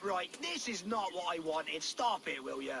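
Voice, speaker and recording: An actor playing a greedy, skittish medieval merchant with an exasperated, colloquial manner in a video game. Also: male voice, high voice, animated, unhurried, vocal fry, noisy background, exaggerated voice. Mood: alarmed. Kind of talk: dramatic video game acting.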